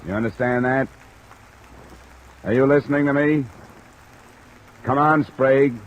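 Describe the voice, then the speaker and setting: An actor with an American accent playing a cool, blunt insurance investigator, terse and matter-of-fact in an old radio drama. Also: male voice, mid-range voice, steady tone, unhurried, dull audio, natural voice. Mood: firm, insistent. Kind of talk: mid-century American radio detective acting. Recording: old radio recording under rain sound.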